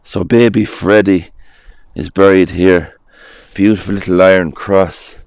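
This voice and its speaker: A hoarse man with an Irish accent, casually vlogging as he walks an old cemetery and reads headstones aloud in a gentle, unhurried way. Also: low voice, fairly even intonation, dry recording. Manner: gentle, unhurried, with frequent "uh" pauses. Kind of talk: casual walking vlog, reading headstones aloud.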